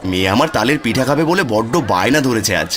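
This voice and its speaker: A man's voice: medium pitch, lively intonation, quick pace, a bit bright, natural voice. A man voicing a poor, doting village father in a cartoon, humble and coaxing. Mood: puzzled, indulgent.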